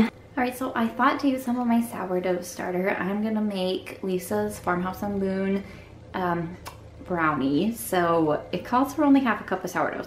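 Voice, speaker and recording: A woman's voice: on the low side, slight background noise. Chatty woman vlogging in an echoey kitchen. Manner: relaxed, chatty, thinking aloud with fillers. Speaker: homemaking vlogger. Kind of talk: casual vlog chat to camera. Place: home kitchen, indoors. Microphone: handheld camera at arm's length. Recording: echoey kitchen with faint music.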